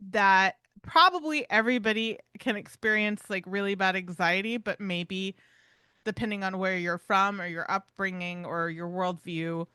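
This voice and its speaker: Bright-voiced woman podcast co-host, close dry sound. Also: medium pitch, normal speed, clear, resonant tone, no room sound. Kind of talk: conversational pet-behaviour podcast talk.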